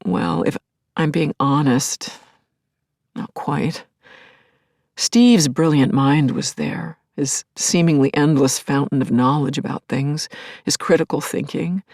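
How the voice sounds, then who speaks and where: Husky, creaky-voiced grieving widow. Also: female voice, sits low, darkish tone, dry recording, clean studio sound, heavily acted. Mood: wistful, confiding. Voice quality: husky, breathy, often dropping to a whisper. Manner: confiding, halting, emotionally charged. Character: grieving middle-aged widow recalling her returned dead husband, tender, yearning. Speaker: lead actor in an audio play. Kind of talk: intimate first-person audio drama monologue.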